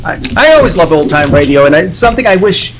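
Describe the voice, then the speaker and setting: High-voiced man chatting casually. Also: sing-song, quick pace. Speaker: podcaster. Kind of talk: casual chat. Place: live at a fan convention. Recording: convention room noise behind.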